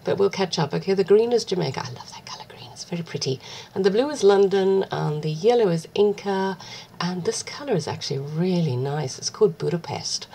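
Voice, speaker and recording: A woman, husky from a cold, chatting casually through a craft tutorial with rambling, self-deprecating asides. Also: fairly low pitch, brisk, mellow, a little hiss or hum. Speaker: art vlogger.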